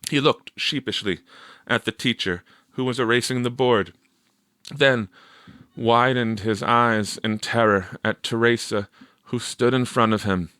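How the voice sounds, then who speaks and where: A man narrating a story from a script in a measured, expressive storytelling manner. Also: medium pitch, no room sound, studio quality. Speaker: audiobook narrator.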